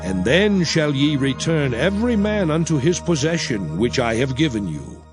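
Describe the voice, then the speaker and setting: Commanding biblical lawgiver, higher-pitched male voice, sweeping intonation, solemn oratory, dramatized scripture. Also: big pitch swings. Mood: solemn, exhorting. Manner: solemn, oratorical, measured, emphatic. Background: music.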